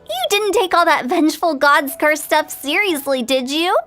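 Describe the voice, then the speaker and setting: A woman voicing a playful, mischievous young funeral parlor director who speaks with brisk confidence. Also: high-pitched, expressive, pushed and bright, faint music behind. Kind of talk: video game voice acting.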